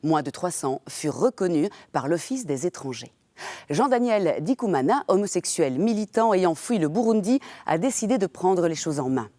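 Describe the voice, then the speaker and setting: A woman presenting a TV magazine segment, reading a scripted introduction with clear, measured delivery. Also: fairly low pitch, expressive, warm tone, no room sound.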